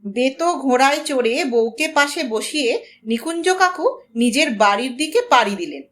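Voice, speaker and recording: A woman narrating a scripted story in an expressive, dramatic and deliberate way. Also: sits high. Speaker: audiobook narrator.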